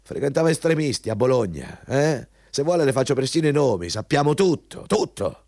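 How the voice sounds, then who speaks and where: An actor playing a bullying, scornful company recruiter in a radio drama, dismissive and contemptuous. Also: male voice, mid-range voice, big pitch swings, fairly quick, creaky, darkish tone, dry recording, clean studio sound. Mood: scornful, accusing. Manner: scornful, dismissive, barking questions. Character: contemptuous, bullying male company recruiter, foul-mouthed anti-communist.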